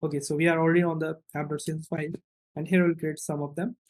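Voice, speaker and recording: A man's voice: a little high, little pitch movement, racing. A man giving an unscripted, step-by-step programming tutorial, thinking aloud as he works and often checking in with a short questioning tag. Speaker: coding tutorial instructor.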